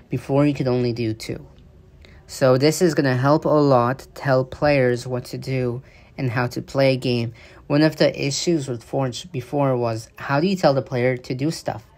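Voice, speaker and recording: Man, gravelly voice, mid pitch, casual voice-over, rambling, halting, filler-heavy. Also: a little mellow, a little hiss or hum. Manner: rambling, halting delivery with frequent fillers and restarts. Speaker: game news commentator. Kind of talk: casual voice-over commentary on gameplay footage.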